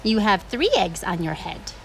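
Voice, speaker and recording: A woman plays a calm storybook pig, a helpful friend. The answers are short, measured and matter-of-fact, with some background noise. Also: mid-range voice, gravelly, pitched below the performer's own voice, rough recording. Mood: gently informing. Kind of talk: picture book read-aloud.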